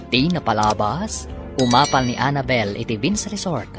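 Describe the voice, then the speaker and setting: A woman's voice: a bass voice, big pitch swings, moderate pace. A woman with an Ilocano accent reading scripted radio drama narration as the announcer. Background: music bed.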